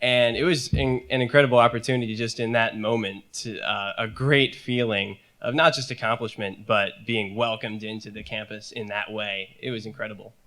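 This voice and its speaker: Bright-voiced young man telling a story. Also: lowish voice, steady tone, normal speed, few pauses. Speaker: student panelist. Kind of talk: panel storytelling.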